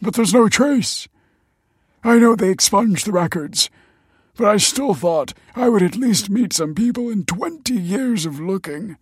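A man's voice: high-pitched, animated, unhurried, breathy edge, no echo, clean studio sound, pitched above the performer's own voice, full character voice. A male narrator voicing a bitter, anxious man just out of prison, tense in manner. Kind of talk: audiobook character voice.

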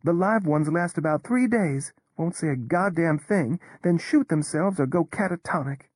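A woman's voice: on the low side, moderate pace, slightly rough, no room sound. A woman brings to life a cynical, world-weary Texan whose drawl stretches every word. Her tone stays level and sardonic, never rising to alarm. The sound is close and dry, with no room around it. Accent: Texas. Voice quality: drawling, flat neutral tone. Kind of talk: audio drama performance.

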